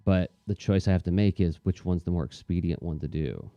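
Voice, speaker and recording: Deep, very mellow man chatting reflectively. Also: a little fast, no echo, lo-fi sound. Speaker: comics artist and teacher hosting a livestream. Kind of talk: casual reflective chat while drawing.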